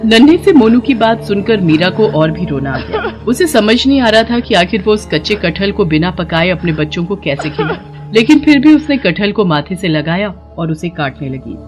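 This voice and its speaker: A woman's voice: mid-range voice, sing-song, normal speed, music in the background. A woman narrating a scripted moral story as a storyteller.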